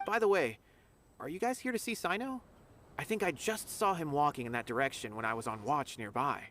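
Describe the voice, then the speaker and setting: A man's voice: a little high, expressive, a little fast. An actor playing a friendly, dutiful young academy officer in a game, earnest and polite as he reports news. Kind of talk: game dialogue. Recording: game audio with loud music behind.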